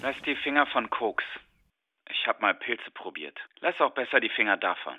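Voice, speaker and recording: An actor playing a whimsical, deadpan young man speaking his messages in a radio play. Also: medium pitch, moderate pace, dry recording, heavily acted. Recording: muffled lo-fi with faint noise.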